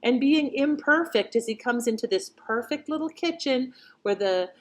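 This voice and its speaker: A woman's voice: sits high, animated, moderate pace. Middle-aged woman, casual reflective commentary. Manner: conversational, reflective. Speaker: channel host. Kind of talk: casual commentary on old radio shows.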